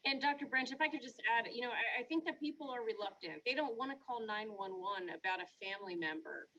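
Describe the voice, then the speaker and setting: A woman speaking as a police chief, answering questions in a virtual meeting in a measured, deferential way with pauses and restarts. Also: on the high side, expressive, sharp tone, dull audio.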